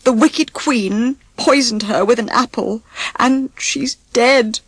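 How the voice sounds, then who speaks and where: A woman's voice: on the high side, takes it slowly, husky, no room sound, pitched above the performer's own voice, heavily acted, rough recording. A woman voicing a sorrowful male dwarf in a storybook reading, plaintive and tender-hearted. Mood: grief-stricken.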